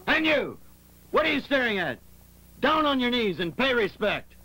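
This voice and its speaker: A man voicing a pompous, commanding, bullying henchman of a samurai governor in an animated film. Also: very high-pitched, normal speed, a little hiss or hum, heavily acted, poor audio. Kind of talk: animated film dub acting.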